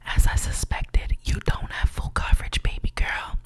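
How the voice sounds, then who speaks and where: A woman's voice: normal speed, lightly acted. A woman playing a condescending female insurance claims agent in an ASMR roleplay, whispering in a patronizing way and calling the listener sweetie and honey. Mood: sassy.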